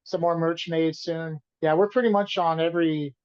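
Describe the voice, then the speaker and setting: A man, the band's singer and guitarist, answering interview questions in a relaxed, rambling way and often repeating himself. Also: fairly high pitch, normal speed, highs cut off. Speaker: band vocalist and guitarist being interviewed.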